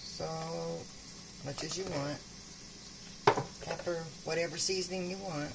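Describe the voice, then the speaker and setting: An older woman with a low, gravelly voice, a little rough from a sore throat. She chats in a homey way while she cooks, and loud frying and sizzling fill her home kitchen behind her. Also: steady tone, slow, heavy background noise. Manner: homey, chatty, talks while cooking. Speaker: home cook. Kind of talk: casual cooking vlog. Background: frying and sizzling food.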